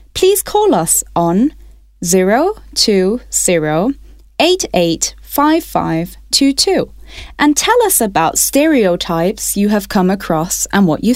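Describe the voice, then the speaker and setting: A woman from Wales hosts a radio phone-in with a measured, polite delivery. Her pitch moves up and down freely. The sound is close and dry, with no room around it. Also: darkish tone, no echo. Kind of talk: scripted radio phone-in presenting.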